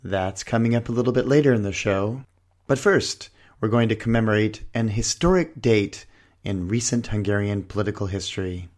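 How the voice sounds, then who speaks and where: A man hosting a politics podcast, reading a scripted intro with a hoarse voice, then interviewing a guest. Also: lowish voice, moderate pace, darkish tone. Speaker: podcast host.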